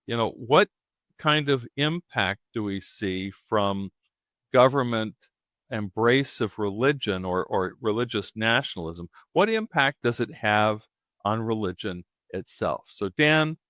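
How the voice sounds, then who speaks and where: A man's voice: fairly low pitch, big pitch swings, unhurried, fairly bright tone, dry recording, clean studio sound. A man hosting a podcast, introducing the show and guest in a chatty, rambling way with many fillers. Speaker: podcast host. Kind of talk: casual podcast intro and interview.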